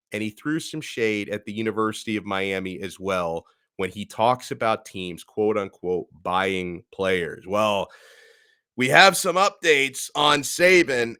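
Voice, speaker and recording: A man hosting a sports podcast, talking in a loose, conversational way as he runs through a list of numbers and breaks in with quick reactions. His pitch sits right in the middle for a man. The sound is close and dry, with no room around it. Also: sharp tone, smooth, no room sound. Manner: conversational, punctuates lists with asides. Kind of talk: casual sports talk, reading through figures.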